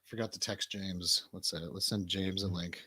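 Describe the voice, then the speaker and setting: A man's voice: low voice, steady tone, moderate pace, bright, forceful tone, slight background noise. A man hosting a livestream, chatting casually in an informal, bantering way. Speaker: livestream host.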